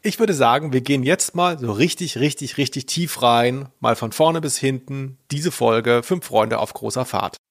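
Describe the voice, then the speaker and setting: A man's voice: mid-range voice, moderate pace, few pauses. A man hosting a casual podcast discussion, enthusiastic and rambling, often repeating words as he goes. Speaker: podcast host, culture journalist.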